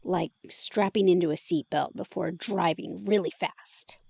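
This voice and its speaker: A woman acting in an intimate audio drama as a skeptical, gently teasing listener, with a dry, questioning conversational manner. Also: on the low side, fairly even intonation, a little slow, gravelly, breathy edge, full character voice. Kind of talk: audio drama dialogue in a conversational ASMR delivery.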